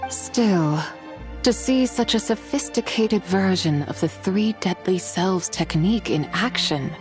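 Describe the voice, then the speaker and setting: A woman playing a composed, courteous scholarly lady in a video game, speaking in a formal, measured, old-world manner. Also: mid-range voice, faint music behind, close to an everyday voice. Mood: courteous, reassuring. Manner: formal, measured, archaic phrasing.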